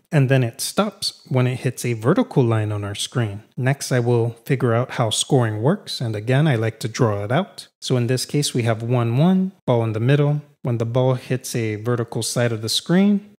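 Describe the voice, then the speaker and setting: A man of middle pitch walks through a programming lesson over slides. He talks in a steady, methodical way, asking a question and then answering it. It is a scripted voice-over for a tutorial. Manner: methodical, explains step by step with rhetorical questions. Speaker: tutorial instructor.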